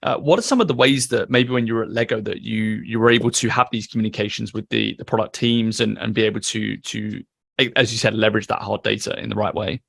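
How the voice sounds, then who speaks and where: A male podcast host with a low, gravelly voice who rattles through his questions. He keeps it casual, dropping fillers as he goes. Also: fairly even intonation, fast, fairly bright tone, no room sound. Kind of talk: podcast interview.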